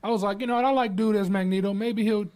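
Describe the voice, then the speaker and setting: A man's voice: high-pitched, steady tone, quick pace, darkish tone. A man talking casually on a podcast panel, emphatic and slangy, swearing freely. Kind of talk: casual chat.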